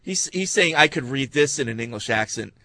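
A man's voice: medium pitch, lively intonation, a little fast, bright, forceful tone, dry recording. A man hosting a sports talk radio show, chatting with hesitant fillers and restarts. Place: radio studio.

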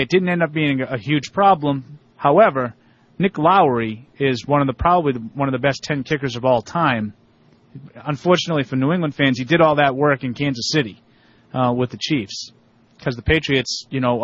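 A man's voice: mid-range voice, fairly quick, no room sound, muffled recording. Middle-aged man reeling off football stats. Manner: reels off old stats and history. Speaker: sports podcast co-host. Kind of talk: casual sports chat.

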